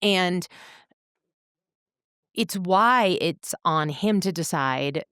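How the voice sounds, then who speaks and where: A woman co-hosting a podcast, speaking in a measured, articulate way as she reflects and analyzes. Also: on the low side, animated, a bit bright, smooth, dry recording, studio quality. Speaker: podcast co-host.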